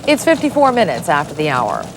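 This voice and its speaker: A woman anchoring a television news bulletin, reading the headlines in a crisp, measured way. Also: deep voice, huge pitch swings, loud music behind the voice.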